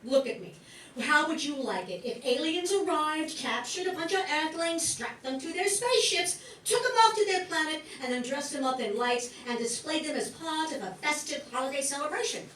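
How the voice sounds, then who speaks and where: A woman's voice: sits high, lively intonation, moderate pace, fairly bright tone, slight background noise. A woman playing a theatrical, guilt-tripping talking fir tree with wry humour in a comic radio play. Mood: wry, indignant. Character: talking fir Christmas tree, dramatic, kvetching, proudly festive. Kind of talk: comic radio play acting before an audience. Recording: roomy stage sound, distant microphone.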